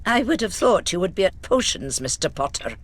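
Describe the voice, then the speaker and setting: A woman's voice: on the low side, big pitch swings, a little fast. A woman playing a stern, prim older witch schoolteacher in a game, speaking formally and dryly. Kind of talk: video game cutscene acting.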